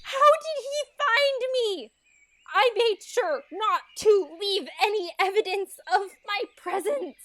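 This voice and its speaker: A woman's voice: very high-pitched, measured pace, hardly any pauses, a bit bright. A woman playing a blunt, exasperated young fairytale maiden in a comedic audio drama, with a casual, modern, sarcastic manner. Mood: alarmed. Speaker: voice actor.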